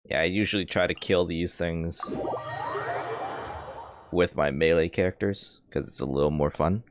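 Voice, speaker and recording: A man's voice: low-pitched, steady tone, moderate pace, a little hiss or hum. A man giving casual live commentary while he plays a video game, with game music behind him. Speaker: game streamer. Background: loud video game music.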